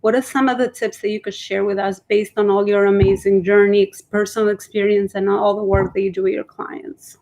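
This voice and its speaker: A woman's voice: mid-range voice, little pitch movement, fairly quick, gravelly, few pauses, a little mellow, rough recording. A woman hosting an interview podcast, asking long, enthusiastic, rambling questions full of fillers over a video call. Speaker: podcast host. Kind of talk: interview conversation.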